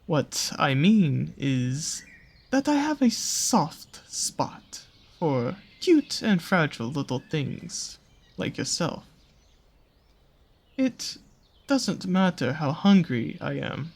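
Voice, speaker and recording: Slow, teasing naga predator with lilting voice acted. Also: medium pitch, very animated, vocal fry, husky, heavily acted. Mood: coaxing, tender. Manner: slow, teasing, coaxing, with pet names and drawn-out pauses. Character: seductive predatory naga, soft on cute small creatures. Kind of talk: scripted roleplay audio drama addressed to the listener.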